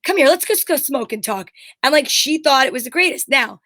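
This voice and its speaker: A woman's voice: sits high, lively intonation, a little fast, sharp tone. A woman co-hosting a casual chat show, talking in a blunt, emphatic, rambling way and swearing freely. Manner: rambling anecdotal storytelling. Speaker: show co-host.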